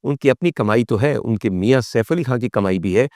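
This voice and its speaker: A man's voice: mid-range voice, fairly quick, a little mellow, no room sound, studio quality. A man with a Pakistani accent hosting a vlog as a storytelling monologue, with theatrical, formal delivery and English phrases mixed in. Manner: theatrical, rhetorical questions, formal addresses to the audience; mixes English phrases into speech. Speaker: vlog host. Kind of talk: storytelling monologue on film history.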